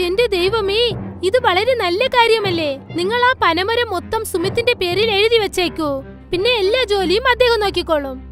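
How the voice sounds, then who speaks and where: A woman's voice: extremely high pitch, moderate pace. A woman playing a pushy, scheming farmer's wife in a cartoon fairy tale, insistent and persuasive. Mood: scheming, delighted. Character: pushy, scheming wife of the greedy elder brother. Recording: faint music under the voice.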